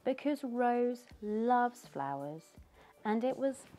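A middle-aged British woman reads a children's picture book aloud, slow and unhurried, her voice rising and falling in a sing-song way. Her tone is mellow and gentle, and she pauses to ask her young listeners questions. Faint music plays behind her. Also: fairly high pitch, big pitch swings. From United Kingdom. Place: living room by a fireplace.

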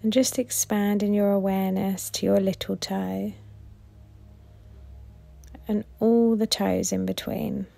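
A woman guiding a body scan meditation in a gentle, soothing, unhurried way with long pauses. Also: medium pitch, fairly even intonation, vocal fry. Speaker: meditation guide.

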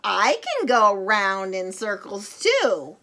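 A woman voicing a cheerful, proud round circle in a children's storybook, bubbly and drawn-out. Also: mid-range voice, wildly swooping pitch, very noisy, voice pushed down, heavily acted.

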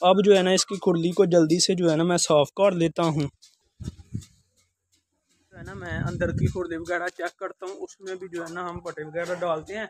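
A young man with a fairly high voice chats his way through farm chores outdoors, talking straight to his viewers. He leans on the same filler phrases again and again. The sound comes through a phone held at arm's length. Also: flat delivery, normal speed, darkish tone, a little hiss or hum, poor audio. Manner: chatty, repeats filler phrases, addresses viewers as guys. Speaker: village life vlogger. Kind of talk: casual walkthrough narration. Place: outdoor village courtyard with poultry.

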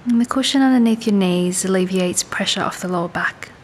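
A woman leads a guided body scan meditation in a calm, soothing, unhurried way, leaving long pauses. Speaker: meditation and yoga teacher. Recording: faint low rumbling background noise.

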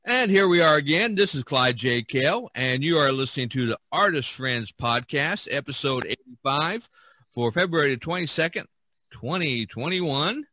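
A man from Indiana with an American accent, hosting a casual podcast chat in a halting way, often pausing mid-thought. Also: a little high, lively intonation, bright, forceful tone, dry recording. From Indiana, USA. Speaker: podcast host.

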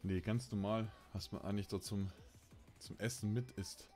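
A man's voice: lowish voice, fairly even intonation, moderate pace, a little mellow, faint music behind. A man chatting casually on a gaming live stream, teasing and bantering playfully in crude, slangy language. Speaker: game streamer.

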